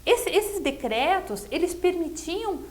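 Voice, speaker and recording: A Brazilian woman with a distinctly high voice speaks as a guest commentator. She explains things earnestly and conversationally, often ending a point by seeking agreement. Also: sing-song, slow pace. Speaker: interview guest, political commentator.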